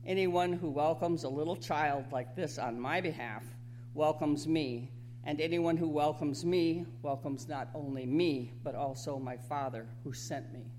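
A woman's voice: low voice, mellow. Female scripture reader, reading a Bible passage aloud on a slightly noisy recording.

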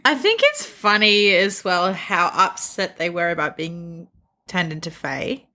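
A woman's voice: fairly low pitch, lively intonation, measured pace, pushed and bright. A woman co-hosting a podcast in casual, conversational book discussion. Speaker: podcast co-host.

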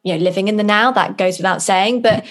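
A woman's voice: mid-range voice, fast talker. A young British woman co-hosting a podcast, chatting casually and telling stories in a loose, rambling conversational way. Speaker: podcast co-host.

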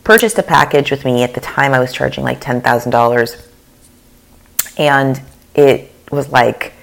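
Very low-voiced, gravelly woman podcaster. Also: moderate pace. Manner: earnest, confessional, with frequent fillers and restarts. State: losing her voice. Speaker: podcast host. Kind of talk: solo podcast monologue.

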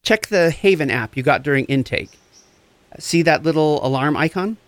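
An actor playing a gentle, encouraging empath therapist, speaking in a reassuring, deliberate way in a scripted audio drama. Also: male voice, fairly high pitch, expressive, no echo, natural voice. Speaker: actor in a fiction podcast.

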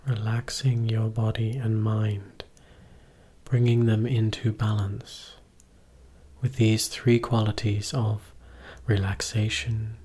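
A man guides a meditation in a low, slightly rough and husky voice. He speaks very slowly, in short, measured phrases with space left between them. His delivery is gentle and instructional. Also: flat delivery. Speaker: meditation teacher.

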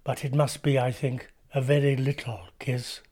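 An actor playing a witty Victorian gentleman writer, reading journal extracts in a measured, literary way. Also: medium pitch, little pitch movement, natural voice. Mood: wry, musing.

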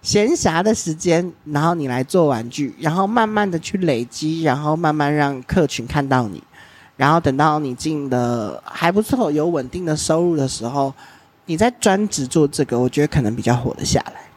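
A man's voice: on the high side, expressive, moderate pace. A man hosting an interview podcast, chatting casually and giving long, talkative explanations. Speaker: podcast host.

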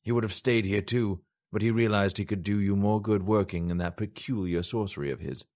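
Man voicing a low, steady storybook ruler, calm and caring. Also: natural voice. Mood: reassuring. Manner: measured, reassuring. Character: steady, caring male god-king.

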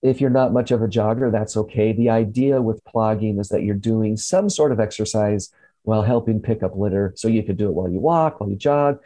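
A man hosts a casual health segment over a remote call. His voice is mellow and dark, the sound dry and close. He talks in an upbeat way, slips in puns and laughs easily. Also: fairly low pitch, a little fast, no room sound, poor audio. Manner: upbeat, punny, laughs often. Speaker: radio show host. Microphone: remote call from home.

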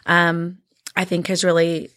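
A woman in her thirties, Norwegian by first language, raised between Norway and Washington State, telling her story as a guest in a slow, reflective, grateful way. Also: on the low side, monotone, fairly bright tone. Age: 30s. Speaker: guest in ministry.

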